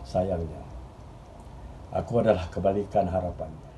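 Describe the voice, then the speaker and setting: A man acting an earnest, restless journalist in a dramatic stage monologue, speaking in deliberate literary phrases with pauses. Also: low-pitched, mellow, a little hiss or hum, natural voice. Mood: earnest, admiring. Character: earnest, restless male journalist reminiscing about his admired mentor. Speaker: stage actor. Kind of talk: theatrical monologue in Malay drama.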